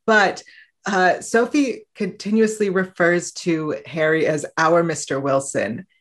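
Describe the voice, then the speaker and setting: A woman's voice: on the low side, takes it slowly. A woman hosting a podcast, eagerly asking a guest curious questions. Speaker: podcast host. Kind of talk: interview questions.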